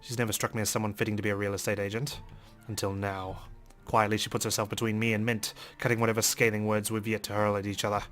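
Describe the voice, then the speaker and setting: A man's voice: on the low side, little pitch movement, fast, hardly any pauses, a bit bright, faint music behind. A man hosting a let's play, reading a game's narration aloud with some drama.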